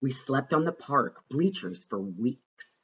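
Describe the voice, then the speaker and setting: A man reading a rhyming children's storybook aloud with a rhythmic, expressive and deliberate delivery. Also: mid-range voice, husky, lo-fi sound. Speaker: reader of a children's picture book.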